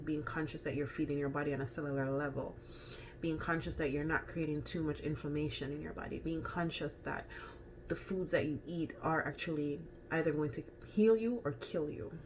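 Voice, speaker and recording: A woman with a Jamaican accent talks casually and rather low, rambling along with frequent ums and self-corrections. She speaks to camera like a friendly food vlogger. A faint noise sits under her voice. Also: fairly even intonation, fairly quick.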